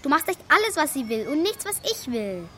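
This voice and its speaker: A woman's voice: high-pitched, big pitch swings, a little fast, sharp tone, exaggerated voice. An actress playing an impatient, snippy eleven-year-old girl in a children's radio play. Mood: sulky, defiant. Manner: snippy, exasperated. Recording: clear background noise, birdsong.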